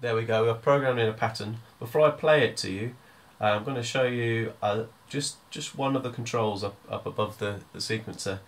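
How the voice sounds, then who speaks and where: A man giving a hands-on music software tutorial, explaining step by step in an informal, hesitant way. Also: medium pitch, fairly quick, hardly any pauses.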